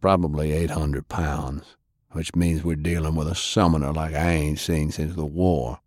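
A man acts out a hulking, plain-spoken war veteran in a pulp audiobook. The voice is very deep and gruff, with terse, folksy delivery. The sound is close and dry. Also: flat delivery, measured pace, a little mellow, no echo. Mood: gruff, matter-of-fact. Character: big gruff plain-spoken country-bred American war veteran detective.

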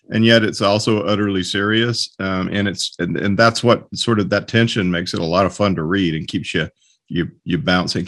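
A man hosts a relaxed, conversational interview. His voice is on the low side and he speaks haltingly, feeling for his words. The sound is dry, with no room echo. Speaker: podcast host, publisher. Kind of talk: casual interview chat.

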